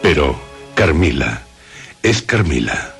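Male radio host, deep register; dramatic scripted storytelling with measured pauses over clear music. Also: very animated, fairly bright tone. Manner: deliberate, theatrical phrasing with suspenseful pauses. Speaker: radio show host and narrator.

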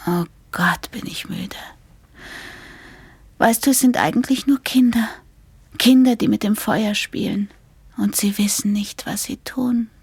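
A woman's voice: medium pitch, unhurried, long pauses, darkish tone, slightly rough, no room sound. A woman playing a weary, frightened, affectionate young woman in an intimate radio play, half-whispering. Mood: drowsy, tender.

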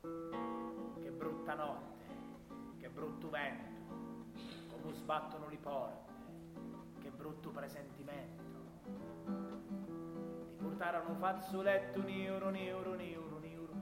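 A man's voice: a little high, steady tone, slow, pauses often. A man performing a grieving mother's folk lament for a soldier son, half sung, mournful and incantatory, with a southern Italian accent. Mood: foreboding, prayerful. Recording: echoey hall, loud plucked guitar.

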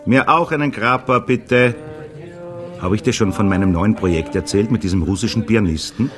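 Music plays behind a male actor as a resentful, chatty jazz musician in a radio play. His voice sits low, and he talks in a blunt, mocking way. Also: normal speed, natural voice. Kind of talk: German radio drama acting.

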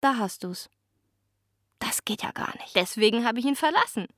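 A woman's voice: fairly high pitch, lively intonation, moderate pace, no room sound, studio quality, close to an everyday voice. An actress playing a wry young woman in a radio drama, confiding chattily and mimicking her own thoughts. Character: wry young woman longing for the city she left.

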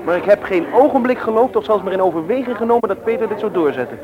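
A man's voice: high-pitched, lively intonation, fast talker, crowd noise. A young man playing a cheeky, stubborn teenage schoolboy in a radio drama, teasing and flippant. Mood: dismissive. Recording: dull, noisy old radio drama recording.

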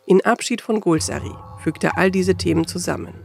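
A man's voice: high-pitched, fairly quick, vocal fry, darkish tone, faint music behind. A man narrating a radio feature from a script.

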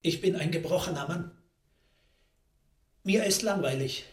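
An actor playing a weary, embittered, broken writer in a radio drama, in a roomy echo. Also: male voice, a little high, unhurried, natural voice. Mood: bitter, weary.

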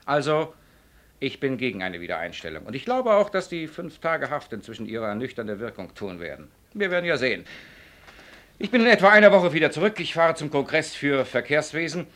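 An actor playing a stern, self-important company director in a radio play, speaking formally and emphatically, as if making a speech. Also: male voice, fairly high pitch, sing-song, a little fast, a bit bright. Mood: stern, insistent. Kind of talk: German radio play acting.

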